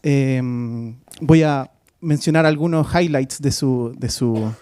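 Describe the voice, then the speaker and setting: A man's voice: mid-range voice, slow pace, darkish tone, clear, resonant tone, no room sound, clean studio sound. Hesitant man introducing a guest. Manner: hesitant, many filler sounds, switches between Spanish and English. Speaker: faculty host introducing a guest speaker. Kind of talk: formal talk introduction. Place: university lecture hall.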